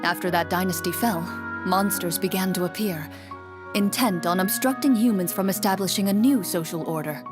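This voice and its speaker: A composed young swordswoman, acted by a woman, with a mid-pitched voice and formal, measured delivery. She explains things matter-of-factly as a game master in a video game, with music clearly behind her. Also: natural voice. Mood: composed, explanatory.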